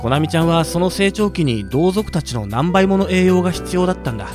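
An actor voicing a mild, genial gym manager who explains things gently in an audio drama. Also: mid-range voice, expressive, fairly quick, natural voice. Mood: genial, explaining. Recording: music under the voice.

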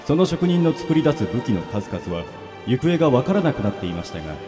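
A man's voice: mid-range voice, very animated, brisk. A man playing a dignified, formal king in a comedic radio drama, with heavy reverb on the voice. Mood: solemn. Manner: formal, stately. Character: dignified male king addressing heroes by letter. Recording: reverberant, music behind.

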